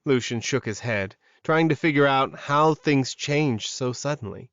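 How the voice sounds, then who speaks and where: A man's voice: medium pitch, a little slow, fairly bright tone, no echo. A man reading scripted dramatic fiction as an audiobook narrator, recorded close in a dry space with a slightly dull sound.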